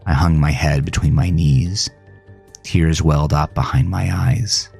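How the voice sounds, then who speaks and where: A man narrating a first-person horror audio drama in a somber, dramatic manner with deliberate phrasing. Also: a bass voice, steady tone, gravelly, darkish tone, breathy edge. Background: music.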